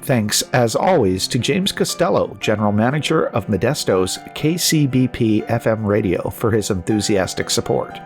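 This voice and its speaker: A man with a fairly low voice reads a scripted podcast outro as announcer. Faint guitar music plays behind him. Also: lively intonation, normal speed, hardly any pauses. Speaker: announcer reading closing credits.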